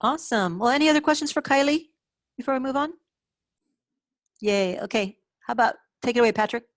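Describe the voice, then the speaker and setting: A woman moderating an online meeting informally, encouraging and halting as she speaks. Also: a little high, no echo, clean studio sound. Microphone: video call.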